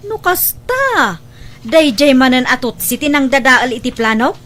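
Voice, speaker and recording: A woman's voice: high-pitched, sing-song, unhurried, fairly bright tone, heavily acted. A woman with an Ilocano accent acting as a pushy, defensive online job recruiter who argues and persuades in an audio drama. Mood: defensive, annoyed.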